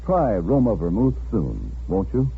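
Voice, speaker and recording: An American man announcing commercials in the polished selling style of 1940s radio, with a husky voice. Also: on the low side, wildly swooping pitch, measured pace. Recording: tinny band-limited 1940s radio broadcast.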